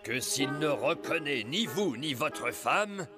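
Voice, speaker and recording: A man's voice: a little high, expressive, slow. A man playing a scheming, bossy animal trapper in a French cartoon, with a theatrical, sardonic manner. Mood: sly, menacing. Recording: loud music under the voice.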